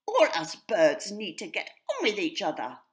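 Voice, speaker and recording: A woman's voice: medium pitch, huge pitch swings, moderate pace, pitched above the performer's own voice. A woman voicing an indignant little female sparrow in a children's story, chirping and squawky.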